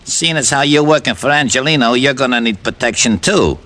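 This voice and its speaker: A man's voice: medium pitch, fairly quick, sharp tone, a little hiss or hum, lightly acted. A man playing a dim American henchman in an old radio crime drama, tough and tauntingly mock-polite. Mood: taunting menace. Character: dim, bullying male henchman of a racketeer.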